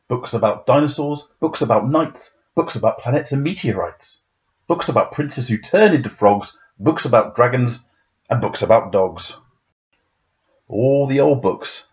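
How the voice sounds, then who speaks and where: A man reading a children's rhyming picture book aloud in a dramatic, playful, expressive way. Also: mid-range voice, lo-fi sound. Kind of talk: expressive rhyming storybook narration.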